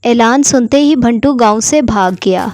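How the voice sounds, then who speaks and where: A woman's voice: fairly high pitch, a little slow, a little mellow, dry recording, studio quality. A woman narrating a scripted story for children.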